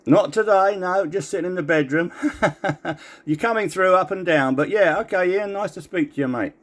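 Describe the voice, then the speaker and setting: Male CB radio hobbyist, raised pitch, casual chat over two-way radio. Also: moderate pace. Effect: two-way radio transmission. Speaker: amateur CB radio operator.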